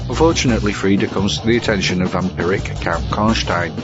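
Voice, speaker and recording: A man's voice: deep voice, fairly quick. A man presenting scripted film reviews as a narrator. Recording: dull lo-fi with music behind. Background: music.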